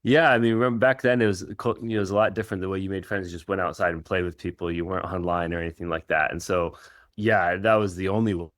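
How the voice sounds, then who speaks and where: A middle-aged man who grew up in Israel, Kenya and the United States, answering interview questions in an informal, reflective, halting way as a coach. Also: low-pitched, steady tone, a bit bright, no room sound, studio quality. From Israel and Kenya, United States from age 13. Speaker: professional soccer coach.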